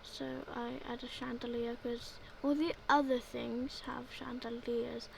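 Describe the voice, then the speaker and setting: Rambling young woman, gravelly. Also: a little high, level tone, unhurried, a little hiss or hum, rough recording. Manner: rambling, filler-heavy, repeats "like, yeah". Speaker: gaming video creator. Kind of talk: casual voice-over narration of gameplay.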